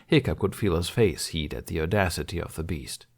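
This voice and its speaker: A man's voice: sits low, lively intonation, brisk. A man reading fantasy fiction aloud as a scripted audiobook narration.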